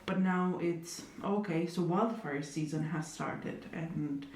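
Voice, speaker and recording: A woman's voice: lowish voice, takes it slowly, darkish tone. A young woman, speaking thoughtfully as an interviewee, with fillers and dry humor. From Italy. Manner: thoughtful, many hedges and fillers, wry humor. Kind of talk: reflective conversational interview. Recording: echoey room, distant mic, faint noise.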